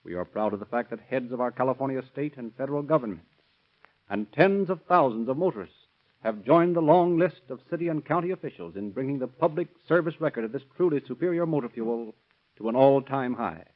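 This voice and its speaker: A man's voice: mid-range voice, lively intonation, brisk. A man reading scripted sponsor copy as a 1930s radio announcer, formal and declamatory, on a tinny old recording.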